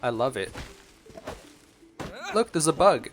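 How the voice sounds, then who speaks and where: A man's voice: mid-range voice, big pitch swings, takes it slowly. A man streaming a game with casual reaction commentary, musing aloud and exclaiming. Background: faint game music.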